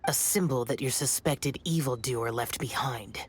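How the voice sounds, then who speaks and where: A man's voice: mid-range voice, normal speed, a little hiss or hum, close to an everyday voice. A man voicing a stern, aloof, immortal young demon hunter in an anime-style video game, speaking in a solemn, formal, clipped way. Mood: grave, warning.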